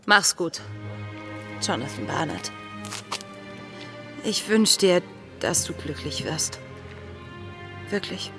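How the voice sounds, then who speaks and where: A woman acting a defiant young woman yearning for adventure in a German radio drama, impassioned and expressive. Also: lowish voice, slow, long pauses, over music, full character voice. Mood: bitter, hurt. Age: young adult.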